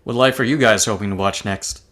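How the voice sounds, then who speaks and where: A man's voice: on the low side, brisk, a bit bright, lightly acted. A man playing a cheerful, wholesome scoutmaster ghost in an amateur table read, earnest and rambling. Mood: chatty. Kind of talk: amateur table read over video call.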